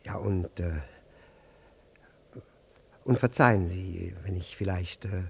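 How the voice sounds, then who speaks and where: Husky, sputtering, pompous older gentleman. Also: low-pitched, slow, full character voice. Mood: flustered, polite. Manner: sputtering, stammering, pompous formal phrasing. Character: indignant, flustered, pompous old jealous husband. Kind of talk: 1960s German radio play acting.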